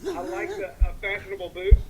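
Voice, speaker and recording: A man's voice: sits high, big pitch swings, brisk. A man with a Louisiana Southern accent calling in to a live stream, friendly and drawling, saying y'all. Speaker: caller. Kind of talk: casual phone call. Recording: phone line with faint noise.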